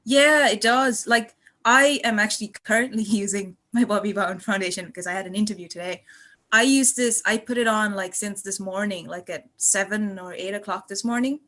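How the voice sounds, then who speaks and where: A woman's voice: medium pitch, normal speed, fairly bright tone. A woman in her twenties from Malaysia chatting casually on a podcast, effusive and rambling as she tells her stories. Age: 20s. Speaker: podcast guest and student contributor.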